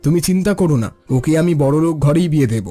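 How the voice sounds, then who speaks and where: A man plays a young cartoon son home from the city, breezy and full of himself. His voice sits quite high for a man. Music plays behind him. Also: animated, quick pace, dark tone. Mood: boastful. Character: boastful grown son back from the city. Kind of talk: Bengali cartoon voice acting.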